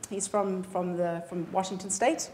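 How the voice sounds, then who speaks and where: A woman's voice: on the low side, steady tone, normal speed, darkish tone. A woman in her thirties from South Africa with a South African accent, chatting unhurriedly as an interview guest. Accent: South African English. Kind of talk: casual interview chat. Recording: echoey room, faint ambient noise.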